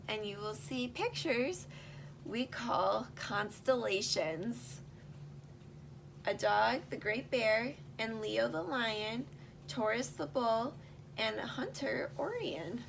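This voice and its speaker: A woman reading a rhyming picture book aloud to young children, pausing to explain and put simple questions to her listeners. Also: on the high side, creaky, a little hiss or hum.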